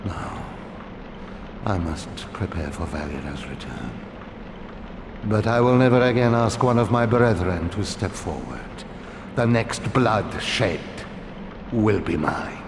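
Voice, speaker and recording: A haunted villager from a dark video game, his voice very low and echoing through a long hall. He speaks in grave, formal phrases, slow and deliberate, over loud noise. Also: expressive, exaggerated voice. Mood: grim resolve. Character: solemn, haunted male villager living in hiding. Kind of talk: dramatic video game cutscene acting.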